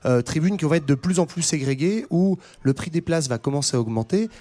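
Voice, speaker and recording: A man explaining history in a relaxed podcast conversation, with many fillers and restarts. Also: on the high side, fairly quick. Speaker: podcast co-host. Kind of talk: casual history discussion.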